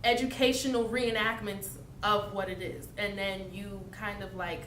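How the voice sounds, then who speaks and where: A woman's voice: flat delivery, normal speed, a bit bright. Young woman explaining, distant and echoey. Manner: explanatory, storytelling with fillers. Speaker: interviewee. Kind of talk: casual interview answers. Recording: echoey room, mic at a distance, faint rumble.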